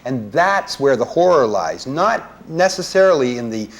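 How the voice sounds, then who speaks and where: Mid-pitched man discussing films. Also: sing-song, a little slow. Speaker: guest panelist. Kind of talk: conversational film discussion.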